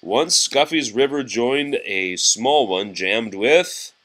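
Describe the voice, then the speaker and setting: A man reading a children's storybook aloud expressively, pausing to chat and answer questions from young listeners. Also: mid-range voice, animated, fairly bright tone.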